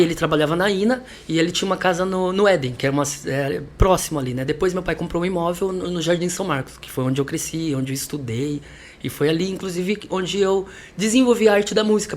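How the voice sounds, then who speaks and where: A man's voice: a little high, fast. A man from Salvador, a musician and teacher, telling his story as a podcast guest in a chatty, reflective way with light laughs. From Salvador, Brazil. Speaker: musician and vocal coach guest. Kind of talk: podcast interview.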